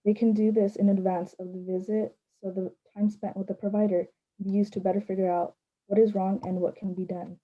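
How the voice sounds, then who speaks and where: A woman's voice: mid-range voice, level tone, a little fast. Casting: woman, mid-range, scripted explainer, tinny telephone-quality recording. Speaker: panelist. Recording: thin phone-like sound.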